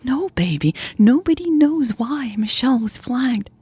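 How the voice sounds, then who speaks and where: A woman reader voicing a guilty, tearful woman who pleads with her lover softly and hesitantly in a romantic audio story. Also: a little high, expressive, a little slow, faint music behind, pitched above the performer's own voice, heavily acted. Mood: anxious, confessing. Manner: soft, hesitant, breathy confessing. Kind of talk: audio fiction dialogue reading.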